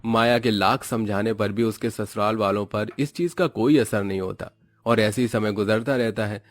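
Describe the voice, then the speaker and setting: A man's voice: lowish voice, fairly even intonation, normal speed, no echo. Indian man narrating, very dry sound. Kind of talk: scripted cartoon story narration.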